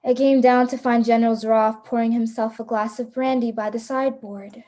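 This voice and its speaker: A woman's voice: a little high, steady tone, a little fast, warm tone, natural voice. A woman playing a frightened but resolute hunted heroine, narrating a radio play in a dramatic, suspenseful way. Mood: uneasy defiance.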